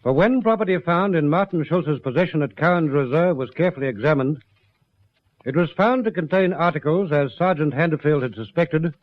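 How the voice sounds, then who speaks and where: A man narrating police case files for a radio drama in a formal, sober, measured scripted style. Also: on the high side, lively intonation, no room sound, close to an everyday voice. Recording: dull lo-fi radio recording.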